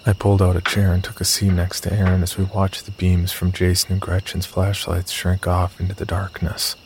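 A deep, creaky male voice of an actor narrating horror in the first person. The delivery is very flat and wry, like a scripted story told deadpan, with faint night crickets behind. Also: moderate pace, slight background noise. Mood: wry, uneasy. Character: wry, sardonic young man telling his horror story.

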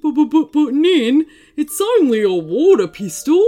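A woman's voice: high voice, sing-song, a little slow, dark tone, soft background music, pitched above the performer's own voice. A woman voicing a nervous, timid, bumbling male robber in a storybook, whiny and stammering. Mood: defensive.

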